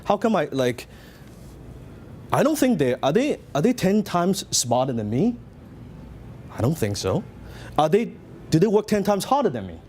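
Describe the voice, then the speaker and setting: Animated man with a Hong Kong accent. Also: high voice, moderate pace, long pauses, a little hiss or hum. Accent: Hong Kong Cantonese. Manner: animated storytelling, asks the audience questions. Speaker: business speaker. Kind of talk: motivational seminar talk.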